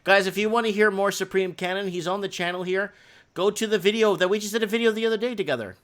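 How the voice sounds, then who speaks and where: A man's voice: high-pitched, fast talker, a bit bright. A man hosting a casual live chat, speaking informally and directly to his viewers. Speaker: livestream host.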